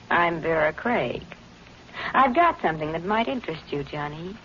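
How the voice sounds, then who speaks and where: A cool, ruthless femme fatale in a 1940s radio thriller. Her coaxing, insinuating voice slides widely in pitch. The old broadcast is muffled, with a faint hiss. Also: animated, unhurried, a bit bright. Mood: seductive, teasing. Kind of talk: 1940s radio noir acting.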